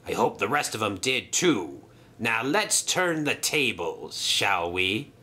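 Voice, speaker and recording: A man playing a shrewd, defiant railway boss, brisk and authoritative, in a children's story. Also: fairly low pitch, very animated, sharp tone, exaggerated voice. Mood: resolute.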